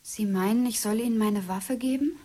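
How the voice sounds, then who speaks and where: A woman's voice: a little high, normal speed, warm tone, natural voice. A woman playing a childlike, volatile young outlaw in love, in a radio drama, with a naive, intense manner. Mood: naive, wary.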